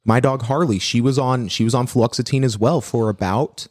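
A man of middle pitch co-hosts a dog-training podcast and reads out listener questions. He talks briskly and readily agrees with his partner. His voice sounds dry and close. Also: darkish tone, dry recording. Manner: brisk, agreeable, quick to affirm. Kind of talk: casual podcast chat.

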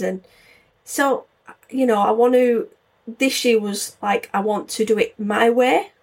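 A woman's voice: a little high, breathy edge. A woman in her forties hosting her own podcast, chatting casually and rambling with lots of fillers. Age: 40s. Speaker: podcast host. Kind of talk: casual solo podcast chat.

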